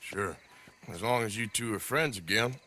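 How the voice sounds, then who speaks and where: An actor playing a blunt, menacing wanted outlaw in a video game, talking in terse, dry, colloquial phrases. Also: male voice, lowish voice, normal speed, sharp tone, slight background noise. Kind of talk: dramatic video game acting.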